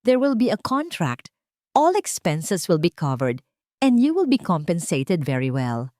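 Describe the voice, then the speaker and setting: A woman's voice: lowish voice, big pitch swings, measured pace, warm tone, no echo. Woman doing a bossy young ceo guy for a love story, cool n blunt, all business, super dry sound. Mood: businesslike, commanding. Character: commanding, cool young male billionaire CEO. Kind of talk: romance audio story dialogue.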